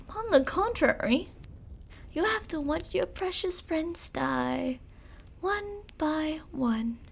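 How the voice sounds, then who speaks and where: A woman acts out a female vampire commander for an ASMR roleplay, sadistic and possessive. Her voice sits high and she takes her time over each line. The delivery is theatrical and seductive, taunting the listener with pet names. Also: creaky, heavily acted. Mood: menacing. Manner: slow, theatrical, taunting, with terms of endearment. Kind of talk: ASMR roleplay, dramatic monologue.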